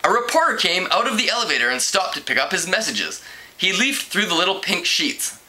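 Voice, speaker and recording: A man reads a story aloud as an amateur narrator. His voice is strikingly bright and forceful and sits a little higher than most men's. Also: sing-song, a little fast, rough recording. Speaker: amateur book reader.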